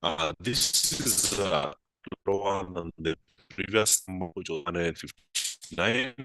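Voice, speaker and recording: Low-voiced guy with a bit of a flu, lots of uhs, bright clear tone, dry sound. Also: no echo, poor audio. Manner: unhurried, with frequent "uh" fillers, answering live comments. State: voice affected by a flu. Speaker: markets analyst hosting a live stream. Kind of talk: live market analysis stream.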